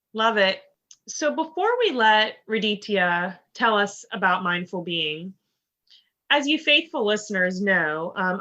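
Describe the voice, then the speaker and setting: A woman's voice: medium pitch, bright, forceful tone, lo-fi sound. A woman hosting a casual podcast interview, upbeat and chatty, with plenty of fillers and "like". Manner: upbeat, lots of fillers and 'like'. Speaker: podcast host.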